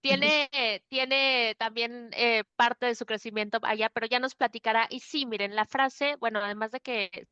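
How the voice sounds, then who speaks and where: Northern Mexican woman co-host, middle-aged, smooth clear voice, dry and slightly muffled sound. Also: fairly high pitch, fairly even intonation, measured pace, few pauses, dry recording. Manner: warm and upbeat introductions. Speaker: podcast co-host. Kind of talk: casual podcast conversation.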